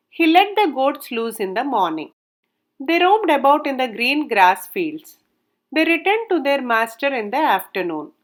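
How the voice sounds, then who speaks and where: A woman's voice: high-pitched, fairly bright tone. A woman reading a folk tale aloud as scripted storybook narration. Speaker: storyteller, reader.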